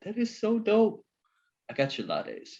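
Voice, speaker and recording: A man's voice: sits high, huge pitch swings, unhurried, vocal fry, a little mellow, full character voice. An actor playing an eager, friendly young man in a comedy table read, gushing in slang, on a dull lo-fi recording.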